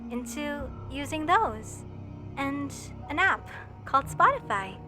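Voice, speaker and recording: A woman's voice: high voice, bright, forceful tone, heavily acted. A woman playing an obsessive, sweetly menacing mortician in a horror roleplay, with sugary, theatrical delivery and formal wording. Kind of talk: scripted horror roleplay monologue to listener.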